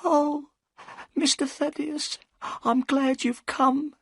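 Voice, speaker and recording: A reader voicing a tall, frightened old housekeeper woman who pleads in a high, trembling voice, in a storybook reading. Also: little pitch movement, slow pace, no room sound, clean studio sound. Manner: high-pitched, pleading, tearful.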